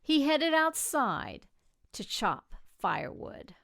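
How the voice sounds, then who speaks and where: A woman reading a children's picture book aloud expressively, with gentle delivery and dramatic pauses. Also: high voice, big pitch swings, gravelly. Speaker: storybook reader.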